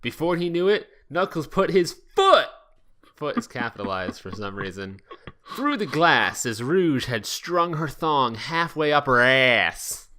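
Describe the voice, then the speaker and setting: A man's voice: mid-range voice, big pitch swings, a little slow, bright, forceful tone. A man co-hosting a podcast, reading aloud casually and joking crudely with an amused delivery. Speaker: podcast co-host. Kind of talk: casual comedic reading with banter.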